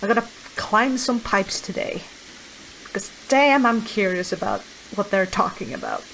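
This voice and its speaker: Unhurried woman playing a tabletop game. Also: mid-range voice, expressive, fairly bright tone, a little hiss or hum, rough recording. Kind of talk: casual game chat.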